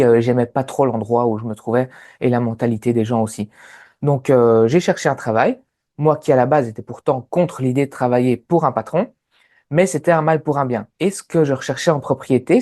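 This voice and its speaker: Interview guest: Sicilian-accented man in his thirties, mellow tone, relaxed storytelling with lots of pauses. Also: mid-range voice. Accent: Sicilian Italian. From Sicily, Italy. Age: 30s. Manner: many hesitant fillers.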